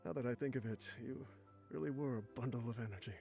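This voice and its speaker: An actor playing a roguish, boastful old mercenary leader near death, reminiscing wryly and fondly in an anime game. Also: male voice, mid-range voice, fast talker, gravelly, warm tone, faint music behind, natural voice. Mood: nostalgic. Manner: wry, chuckling, reminiscing. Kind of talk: anime game acting, letter read in voice-over.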